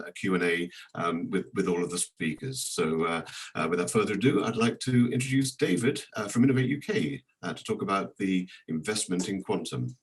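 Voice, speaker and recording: A man hosting an event, introducing the speakers in a hesitant way with many filler sounds. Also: low voice. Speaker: event host, moderator.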